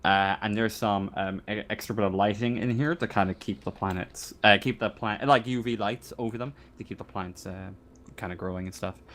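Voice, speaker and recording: A man narrating a tabletop game as game master in a casual, halting, improvised way. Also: fairly low pitch, fairly even intonation, a bit bright.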